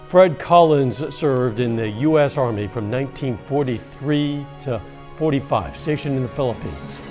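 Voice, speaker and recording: A male local news anchor reads the headlines in a steady, measured way, his voice a bit strained as if he is losing it. His pitch sits at a middling level. Faint music plays beneath the studio audio. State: losing his voice, coughing at times. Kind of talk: scripted newscast reading.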